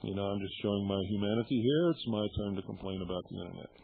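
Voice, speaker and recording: A man's voice: on the low side, fast, creaky, a little hiss or hum. A middle-aged man hosting a radio show, talking bluntly and indignantly to his listeners and swearing freely. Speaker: radio host. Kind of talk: shortwave radio monologue. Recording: tinny shortwave radio broadcast.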